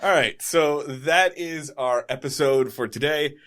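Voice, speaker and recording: A man's voice: a little high, a little slow, a bit bright. A man co-hosting a podcast, reading out plugs in a loose, rambling way and correcting himself as he goes. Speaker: podcast co-host. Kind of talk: casual podcast outro.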